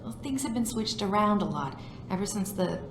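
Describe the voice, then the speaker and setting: A woman's voice: medium pitch, animated, normal speed, close to an everyday voice. Woman acting as nervous game receptionist lady, stammery, echoey w noise behind. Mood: flustered. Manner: flustered, stammering. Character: nervous, deferential female office receptionist.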